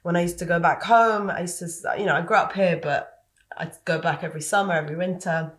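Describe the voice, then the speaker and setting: A woman's voice: on the low side, steady tone, fast, fairly bright tone. A woman who grew up in Britain, telling personal stories in a candid, casual chat and repeating words for emphasis. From Lebanon. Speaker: guest.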